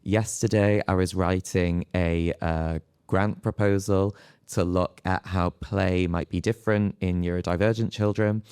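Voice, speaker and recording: A male lecturer gives an informal answer on a panel. His voice is deep, dark and very clean and smooth. The recording is dry and close. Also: no room sound. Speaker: senior lecturer in psychology on a panel.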